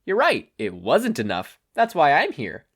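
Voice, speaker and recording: A man's voice: a little high, wildly swooping pitch, unhurried, fairly bright tone, voice pushed up, exaggerated voice. A man voicing a brash, upbeat adult superheroine with a breezy, quippy manner in a fan fiction reading. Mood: cheerful, cocky.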